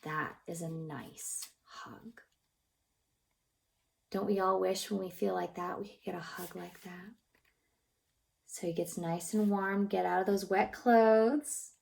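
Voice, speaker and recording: A woman reads a picture book to little children in a mid-pitched voice with a noticeable creak. She is expressive and gently encouraging, pausing to ask questions about the pictures. Her delivery often softens into a breathy near-whisper. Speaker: childcare teacher reading a picture book aloud. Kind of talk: read-aloud storytime for young children, narrating pictures and asking questions.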